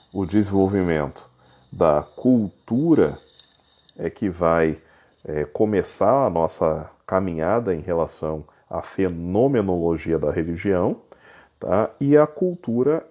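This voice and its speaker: Low mellow man giving a lecture. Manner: explanatory, repeats points with "então" and "tá?". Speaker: teacher. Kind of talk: recorded lecture.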